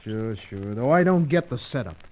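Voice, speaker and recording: A man's voice: mid-range voice, lively intonation, moderate pace, darkish tone, close to an everyday voice. Man acting a brash, slangy American pilot, dull recording. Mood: grumbling, puzzled. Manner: folksy, slangy wisecracking. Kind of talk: old-time radio adventure serial acting. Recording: dull lo-fi old radio recording.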